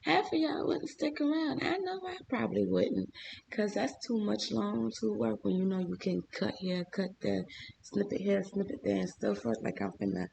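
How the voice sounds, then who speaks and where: Hoarse, gravelly-voiced man chatting to viewers. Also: high voice, normal speed, darkish tone, a little hiss or hum. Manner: chatty, talks straight to viewers. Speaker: small business owner and channel host. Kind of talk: casual vlog voice-over.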